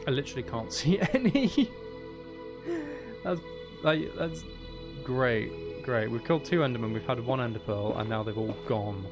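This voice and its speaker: A man's voice: mid-range voice, lively intonation, normal speed. A man giving casual gameplay commentary, muttering to himself as he thinks aloud while he plays. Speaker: gamer playing Minecraft. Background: background music.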